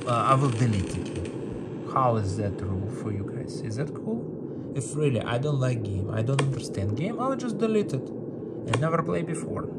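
A man's voice: medium pitch, very noisy. A man in giving casual, playful gameplay commentary, thinking aloud as he plays. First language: not English. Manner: playful, thinking aloud, with sung-out sound effects. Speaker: gaming video host.

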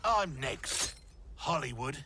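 An actor playing a sly, cocky, middle-aged Australian mercenary crime boss in a video game, gruff, taunting and swaggering. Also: male voice, mid-range voice, animated, slow, heavy background noise. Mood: menacing, mocking. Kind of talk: video game cutscene acting. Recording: roomy echo, loud music underneath.

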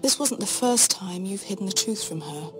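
An actress playing a cold, shrewd queen in a fantasy game, imperious and condescending in measured speech. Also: mid-range voice, animated, over music, natural voice. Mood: probing, condescending. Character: cold, shrewd, commanding adult queen, veiled threats. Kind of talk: dramatic fantasy game acting.

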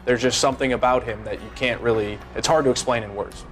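Young man recalling, halting with fillers. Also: mid-range voice, steady tone, a bit bright, soft background music. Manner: halting, many fillers and restarts. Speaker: former pro baseball player. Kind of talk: seated interview, casual recollection. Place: high-rise office by a big window.

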